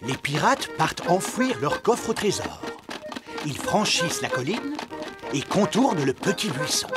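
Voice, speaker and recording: An actor narrating a preschool cartoon in clear, simple storytelling phrases. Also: male voice, high-pitched, very animated, unhurried. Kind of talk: scripted narration for young children. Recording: music behind the voice.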